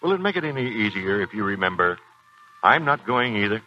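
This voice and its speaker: A man's voice: lowish voice, big pitch swings, brisk, sharp tone, slight background noise. A man playing a firm but sympathetic colonel in a 1940s radio drama, speaking with clipped authority. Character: firm, sympathetic male Marine colonel, past his youth. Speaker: actor. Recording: dull 1940s radio recording.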